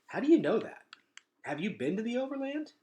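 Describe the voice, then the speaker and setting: A man's voice: very high voice, very animated, a little fast, warm tone, reverberant, voice pushed up. A man voicing a blunt, wary boy in a children's novel, with a questioning way of talking. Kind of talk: children's novel read-aloud.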